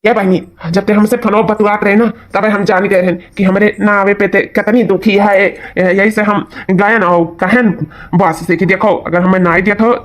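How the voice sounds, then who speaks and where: A performer voicing a caring young brother back from working abroad in an Awadhi cartoon comedy, calmly soothing and explaining. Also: medium pitch, normal speed, lightly acted.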